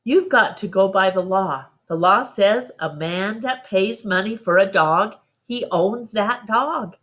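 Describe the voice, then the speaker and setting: A woman reading the part of a stern, principled country father in a storybook, sharp and admonishing in folksy phrases. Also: mid-range voice, measured pace, close to an everyday voice. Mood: firm.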